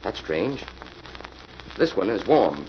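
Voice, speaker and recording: An actor playing a brisk, level-headed and commanding investigator in a 1940s radio mystery. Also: male voice, on the low side, big pitch swings. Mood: businesslike. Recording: dull, noisy old radio recording.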